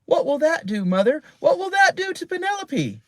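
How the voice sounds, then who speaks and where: A man voicing an eager, excitable little girl in a storybook. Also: normal speed, no echo, clean studio sound. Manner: high, breathless and eager.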